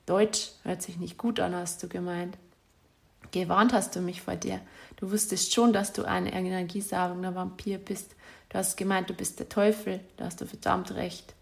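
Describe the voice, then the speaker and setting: Gravelly woman reading a bitter letter. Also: on the low side, level tone, moderate pace. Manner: bitter and accusatory, insulting. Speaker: spurned ex-partner. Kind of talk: reading out a self-written letter to an ex-partner.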